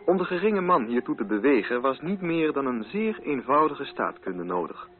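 A man reading literary narration for a radio play in a measured, formal and carefully articulated way. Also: mid-range voice, big pitch swings, narrow, tinny audio, soft background music.

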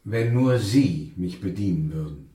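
A pious gentleman lodger in a Victorian radio play. His low voice is formal, solemn and scrupulously polite. The sound is roomy, with an audible echo. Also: animated, measured pace. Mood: solemn, reverent. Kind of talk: German radio drama acting. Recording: roomy, echoing interior sound.

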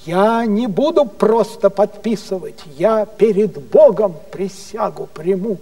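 A man voicing a proud, stern old count in a measured, formal way, as part of a history tale. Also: sits high, lively intonation, dark tone, long reverb tail, full character voice. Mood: solemn.

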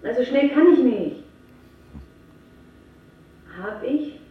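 Muffled and very echoey, a woman's voice sounds from far off, slow and halting. She is a flustered, fond young woman on the phone in a radio drama. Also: sits high. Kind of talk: German radio drama acting. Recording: muffled, distant, very echoey, faintly noisy.

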